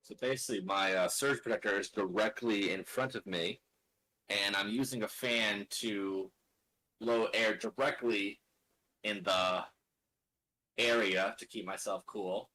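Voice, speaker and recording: A man plays a tabletop card game and talks through each step in a casual way. His voice is on the low side and he takes his time, often muttering or counting aloud as he thinks out his turn. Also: steady tone, unhurried, bright, forceful tone, low-quality recording. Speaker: card game player and streamer.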